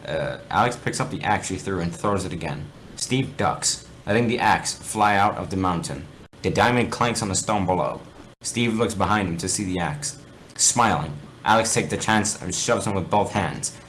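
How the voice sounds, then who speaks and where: A man with a fairly low voice reads a story aloud with theatrical, very animated intonation. He stumbles over words and corrects himself as he goes. There is clear noise on the amateur recording. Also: normal speed, rough recording. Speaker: reader of fan fiction. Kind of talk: amateur dramatic story reading.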